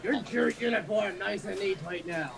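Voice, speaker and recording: A woman's voice: medium pitch, moderate pace, crowd noise. A woman playing along in a game session, casually reading dialogue aloud as an amateur and bantering. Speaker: co-player voicing game dialogue. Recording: music clearly behind the voice.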